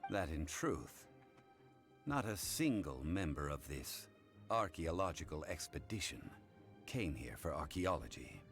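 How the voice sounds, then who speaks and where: An actor voicing a calm, formal man who upholds contracts, speaking slowly and with measured authority. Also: rumbling low, exaggerated voice. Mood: stern. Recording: played game audio with faint music.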